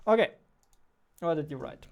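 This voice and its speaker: Clear, higher-voiced young man, chatting playfully. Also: animated, moderate pace, darkish tone. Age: 20s. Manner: playful, joking. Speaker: game developer streaming. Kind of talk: casual livestream chat while working. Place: indoors, small home room. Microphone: studio microphone on boom arm, headphones.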